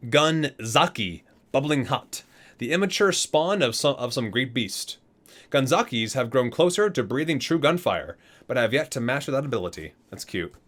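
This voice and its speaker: A man's voice: mid-range voice, lively intonation, unhurried, fairly bright tone. A man hosting a gaming ASMR video, reading entries aloud and dropping into casual, amused asides.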